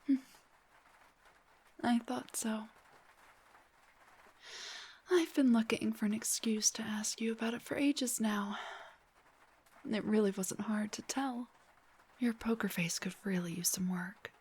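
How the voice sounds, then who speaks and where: A woman playing a playful, teasing young rock singer in an intimate roleplay audio drama, speaking softly and breathily, often near a whisper. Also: fairly high pitch, breathy edge. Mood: teasing, tender.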